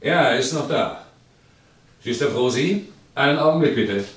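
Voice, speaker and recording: A man's voice: medium pitch, expressive, moderate pace, a bit bright, slight background noise, lightly acted. An actor playing an eager police inspector in a German radio crime play, arguing insistently point by point to his chief.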